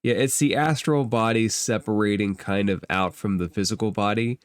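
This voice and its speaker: A man narrating a tabletop fantasy game as game master, describing scenes in improvised, halting phrases and thinking aloud. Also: on the low side, steady tone, clear, resonant tone, no echo.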